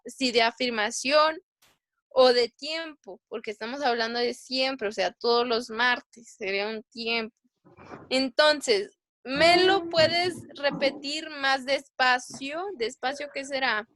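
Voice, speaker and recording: High-voiced woman teaching grammar. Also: takes it slowly, fairly bright tone. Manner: patient, quizzing students, thinking aloud. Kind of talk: online class drill.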